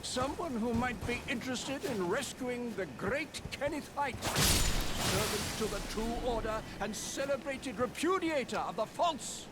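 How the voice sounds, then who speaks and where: A man's voice: very high voice, animated, a little slow, heavy background noise. An actor playing a pompous, self-important nobleman in a fantasy game, haughty and theatrical in his delivery. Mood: pleading, grandiose. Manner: haughty, theatrical, grandiose declamation. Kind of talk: scripted fantasy game acting. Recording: background music under the voice.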